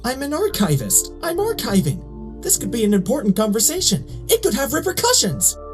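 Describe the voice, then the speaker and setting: A woman's voice: a little high, huge pitch swings, normal speed, music in the background. A woman voicing a small, nerdy, earnest robot archivist in a motion comic, hesitant and pedantic. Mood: earnest, defensive.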